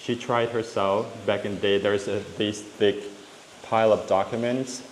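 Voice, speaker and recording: A man's voice: on the low side, little pitch movement. A Lao man in his thirties with a Lao accent, explaining things in a casual vlog with frequent fillers and restarts. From Laos. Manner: talks in halting stretches with many fillers and restarts. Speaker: vlogger. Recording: echoey room with long reverb and faint hiss.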